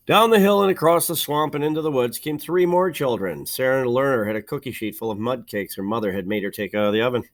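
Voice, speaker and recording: A man reading a children's picture book aloud in an expressive, measured storytelling manner, stressing key words. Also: medium pitch. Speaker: storybook reader.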